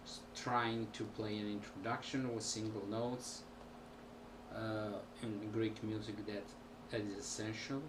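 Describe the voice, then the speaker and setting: A man, a musician, talking casually to camera at home in a halting way with many pauses. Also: on the low side, little pitch movement. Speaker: musician vlogger. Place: small room at home. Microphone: built-in camera mic. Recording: distant home camera audio with background noise.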